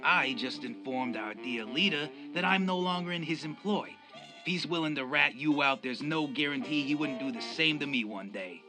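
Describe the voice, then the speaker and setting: A man's voice: on the high side, moderate pace, over music, close to an everyday voice, rough recording. A man playing a cynical, self-interested gangster henchman in a crime radio drama, smug, unruffled and sardonic. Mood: coolly unruffled.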